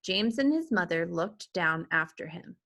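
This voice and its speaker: A woman reading a children's story aloud with expressive narration. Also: lowish voice, a little slow. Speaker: teacher or reader reading a children's book aloud.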